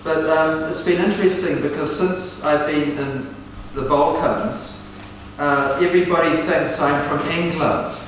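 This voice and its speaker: Older man, New Zealand accent, slow deliberate lecture, fairly high voice, booming hall reverb, picked up from afar. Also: fairly even intonation, a little hiss or hum. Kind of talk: university seminar lecture. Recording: echoey lecture hall from far off.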